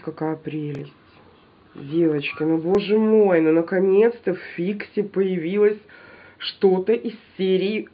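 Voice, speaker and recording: A woman's voice: fairly low pitch, husky, dull audio. Stuffy-nosed woman chatting through a haul. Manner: chatty, animated, addresses viewers directly. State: stuffy nose from a cold. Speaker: shopping haul vlogger. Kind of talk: casual haul video chat to viewers.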